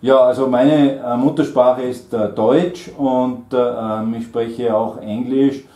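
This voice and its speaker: A man's voice: mid-range voice, fairly even intonation, slow pace, mellow, reverberant. A middle-aged man, leading an oral language exam with many fillers and friendly laughs. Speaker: German trainer and examiner. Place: small indoor room at a table.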